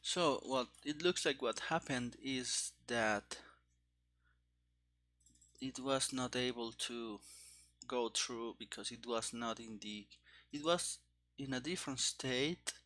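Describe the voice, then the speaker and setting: A man with a mid-pitched voice talks very slowly, as a programmer thinking aloud. He mutters in fragments, in the casual style of a live-coding commentary. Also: sharp tone. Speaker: programmer streaming game development.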